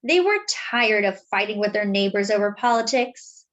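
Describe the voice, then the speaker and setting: A woman reading a children's picture book aloud, with dramatic, deliberate phrasing and drawn-out words. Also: medium pitch, lively intonation, fairly bright tone, lo-fi sound. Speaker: children's story reader.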